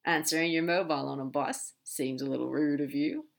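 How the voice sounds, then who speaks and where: A brash, irreverent male demon from an audiobook, as a woman narrator plays him, speaks in a lowish voice. He is blunt and casual, hitting his words with emphasis, protective and fond underneath. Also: steady tone, brisk, vocal fry. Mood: fond concern. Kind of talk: audiobook character dialogue.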